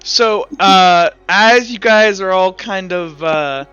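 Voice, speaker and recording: A man running a tabletop game as game master, narrating informally and haltingly. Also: high voice, pushed and bright, clear, resonant tone. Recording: slightly muffled with music behind.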